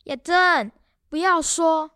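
A female performer playing a dutiful, cheeky young grandson in a children's radio drama, with simple, deliberate delivery. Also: very high-pitched, pushed and bright, no echo, clean studio sound, heavily acted. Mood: reluctant.

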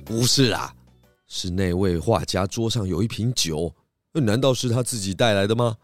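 A man voicing a sensible, food-loving pig detective in a children's radio drama, with a measured manner. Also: fairly low pitch, dry recording, soft background music, close to an everyday voice. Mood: explaining.

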